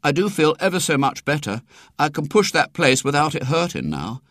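A man's voice: high-pitched, animated, unhurried, bright, forceful tone, dry recording, studio quality, voice pushed up, full character voice. A man voicing an anxious, hesitant schoolboy who stammers as he feigns illness in a storybook reading.

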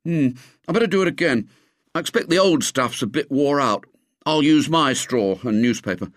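A man's voice: fairly high pitch, lively intonation, moderate pace, no room sound, clean studio sound, voice pushed up, lightly acted. A man voicing a brash, confident schoolboy ringleader, eager and breezy.

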